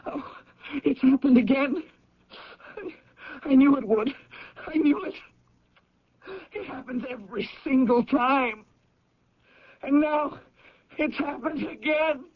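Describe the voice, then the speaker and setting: An actor playing a volatile, shaken brother in a 1970s radio drama, with a husky voice. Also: male voice, very high voice, slow, pauses often. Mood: anguished, shaken. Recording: dull old radio recording.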